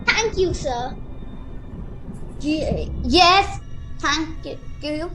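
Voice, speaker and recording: A woman playing an earnest, expressive lost youngster in a radio play. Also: sits high, drawn-out words, faint music behind, heavily acted, rough recording. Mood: polite, flustered. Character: polite, plucky lost girl and her nervous brother.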